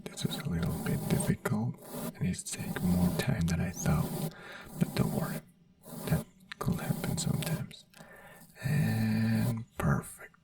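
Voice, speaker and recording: Slow whispering ASMR performer, non-native English speaker. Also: medium pitch, big pitch swings, far from the mic, rough recording. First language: not English. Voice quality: breathy whisper. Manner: gentle, reassuring personal attention. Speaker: ASMR performer playing a brain doctor. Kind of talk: soft-spoken whispered ASMR roleplay.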